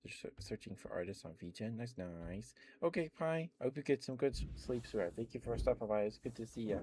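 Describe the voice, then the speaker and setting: A man's voice: mid-range voice, animated, a little fast, dark tone, slight background noise. A man doing ASMR as a live streamer, chatting casually and affectionately with viewers close to the microphone. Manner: affectionate, addresses viewers by pet names.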